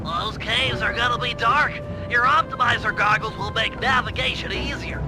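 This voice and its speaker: High-pitched, fussy brainy cartoon turtle. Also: male voice, moderate pace, loud music behind the voice. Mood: brisk briefing. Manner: wordy, precise, grandiose. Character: brainy, fussy, theatrical cartoon turtle mission planner, male. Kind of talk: cartoon game briefing and dialogue.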